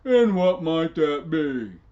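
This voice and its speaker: A man voicing a huge, grumpy, boastful giant who chants and brags in a booming voice, on a dull lo-fi recording. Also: big pitch swings, slow pace, mellow. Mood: bragging.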